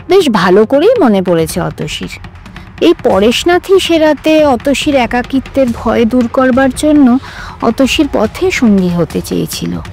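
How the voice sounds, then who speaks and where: Female audiobook narrator, very lively intonation, soft mellow tone, measured literary delivery, music underneath. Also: sits high. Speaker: story reader.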